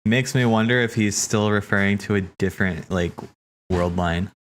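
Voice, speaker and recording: A man streaming casual live commentary, thinking aloud in a halting way and repeating phrases as he reasons. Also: lowish voice. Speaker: streamer hosting a cutscene watch party. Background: game music behind the voice.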